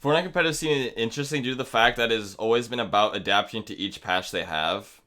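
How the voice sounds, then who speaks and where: A man's voice: mid-range voice, fast, sharp tone. A young man co-hosting a casual gaming podcast, ranting and swearing freely as he gets worked up. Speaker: podcast co-host.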